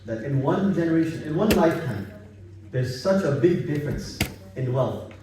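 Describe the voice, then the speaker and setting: A man's voice: on the high side, expressive, normal speed, background noise. A man from Bangladesh gives an informal religious talk in a conversational, repetitive way, often checking that listeners agree. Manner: conversational, repetitive, seeking agreement with tag questions. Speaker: Islamic study circle speaker. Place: gathering in a private house. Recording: echoey room, distant microphone.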